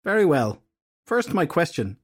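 A fierce fairy goddess, put on by a man reading a story, imperious and haughty. Her voice sits well above his own, with a husky edge. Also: a little high, big pitch swings, a little slow, pitched above the performer's own voice, full character voice.

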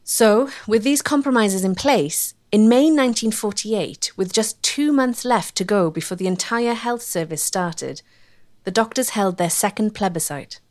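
A woman giving measured, scripted narration for a history documentary. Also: mid-range voice, expressive, darkish tone. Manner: measured, articulate.

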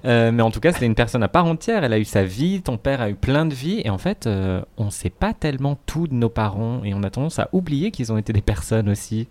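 A man's voice: medium pitch, expressive, brisk, hardly any pauses, darkish tone. A man on a podcast panel, speaking in a thoughtful, conversational way. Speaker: podcast columnist. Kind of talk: casual chat.

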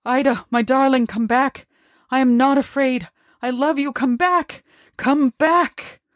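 A woman's voice: high-pitched, fairly even intonation, measured pace, dry recording, clean studio sound, pitched above the performer's own voice. A woman voicing a grieving, frightened husband in a gothic story, pleading in anguish with his dead wife. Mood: desperate pleading.

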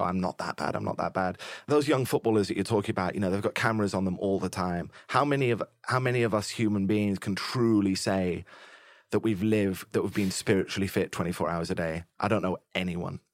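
A man, a musician guest, talking candidly and openly in a laid-back, soft-spoken way. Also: low voice, steady tone, fast, dry recording. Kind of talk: candid interview conversation.

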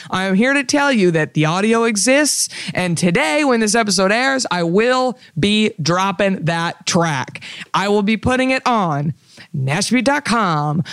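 Sassy low-voiced woman podcast host. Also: a bit bright, dry recording, clean studio sound. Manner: sassy, playful, calls listeners honey and baby. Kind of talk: casual chat.

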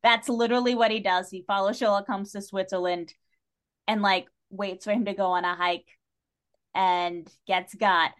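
Animated, informal woman chatting. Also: medium pitch, moderate pace. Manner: animated, informal, salty-tongued. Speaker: guest on a book discussion podcast. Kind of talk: casual chat, literary discussion.

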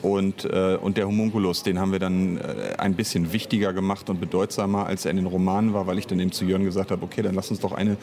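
A man's voice: low-pitched, little pitch movement, fast, few pauses, a little mellow. A man speaking as an interview guest in casual conversation, searching for words as he explains at length. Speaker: interview guest, audio drama author and director.

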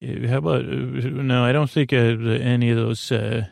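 A man with a creaky, gravelly voice hosts a sleep podcast, gently and reassuringly rambling in a meandering, halting monologue that trails off mid-thought. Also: medium pitch, level tone, no echo, clean studio sound. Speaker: podcast host.

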